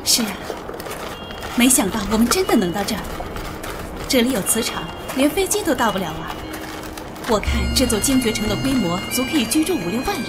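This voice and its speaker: A woman's voice: fairly high pitch, big pitch swings, brisk, pauses often. A woman playing a proud, principled young heiress in an audio drama, with a haughty, lecturing manner. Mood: awed. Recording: very loud music under the dialogue.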